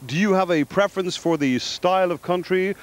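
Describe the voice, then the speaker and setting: A man asking passers-by absurd questions in a deadpan way, padding every line with "of course". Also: high-pitched, a little fast. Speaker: comic street interviewer.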